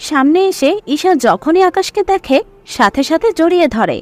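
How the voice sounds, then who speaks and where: A woman's voice: sits high, very animated, normal speed. A woman narrating a scripted audio story as its storyteller.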